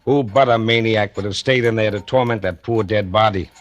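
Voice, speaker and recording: An actor playing an earnest, confident police inspector in a 1940s radio thriller, formal and emphatic. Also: male voice, lowish voice, brisk, dull audio, natural voice. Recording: noisy, clicking old radio recording.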